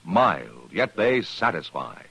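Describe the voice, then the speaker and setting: A man's voice: sits low, very animated, slow, vocal fry, heavy background noise. An American man announcing on 1950s radio in a polished, emphatic scripted style. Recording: vintage radio broadcast. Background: music.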